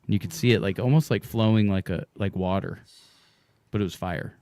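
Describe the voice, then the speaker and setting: Male radio host, slightly hoarse, relaxed rambling chat, low voice. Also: fairly quick, no echo. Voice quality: hoarse from smoke. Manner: rambling, relaxed, many fillers. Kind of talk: casual radio interview chat. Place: radio studio.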